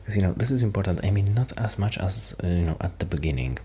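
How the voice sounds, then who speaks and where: A man doing a soft-spoken, half-whispered ASMR roleplay as a shy, quiet musician friend, rambling hesitantly and interrupting himself. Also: sits low, animated, fast, slight background noise. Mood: shy, curious.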